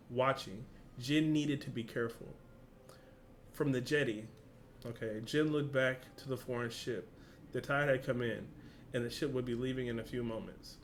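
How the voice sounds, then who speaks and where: A man's voice: medium pitch, a bit bright, a little hiss or hum. A man with a casual, slangy, informal way of talking, hosting a reaction video and reading along.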